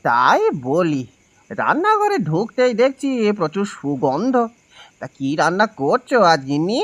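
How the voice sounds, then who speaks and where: A man's voice: high voice, wildly swooping pitch, unhurried, a bit bright, heavily acted. A man playing a poor village husband and father in a cartoon, weary, pleading and easily riled. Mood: pleased surprise. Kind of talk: Bengali cartoon voice acting.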